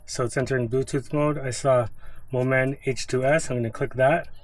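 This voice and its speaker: Relaxed man walking through a setup. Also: mid-range voice, moderate pace. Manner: relaxed, step-by-step explaining while working with hands. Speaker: product reviewer. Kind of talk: casual how-to narration.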